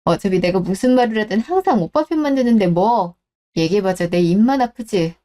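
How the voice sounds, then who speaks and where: A woman voicing a blunt, sharp-tongued daughter in her fifties in a radio drama who speaks in an exasperated way. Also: medium pitch, animated, a little fast, natural voice. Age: 50s.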